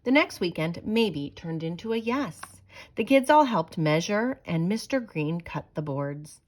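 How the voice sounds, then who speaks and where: A woman reading a children's picture book aloud in an expressive storytelling manner with deliberate phrasing. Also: lowish voice. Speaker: storybook reader. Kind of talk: children's read-aloud narration.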